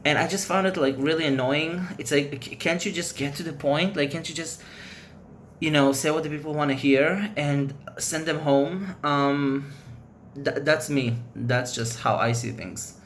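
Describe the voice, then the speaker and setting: A man's voice: a little high, unhurried, a little hiss or hum. Rambling native Hebrew speaker, man. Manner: rambling, loose, frank opinions. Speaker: vlogger. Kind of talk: casual chat to camera.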